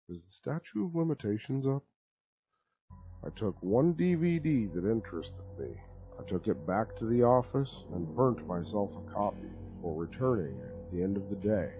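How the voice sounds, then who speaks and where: A man narrating a horror story with a lowish voice that swings widely in pitch as he leans into the telling. The sound is dull and lo-fi, with clear music playing underneath. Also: no echo. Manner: expressive, conversational storytelling. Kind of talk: dramatic horror story reading.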